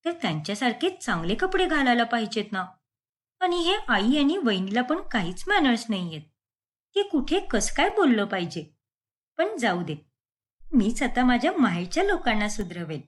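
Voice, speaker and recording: Woman as defensive young daughter-in-law, high animated voice. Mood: brooding. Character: newly rich young daughter-in-law, image-conscious and defensive.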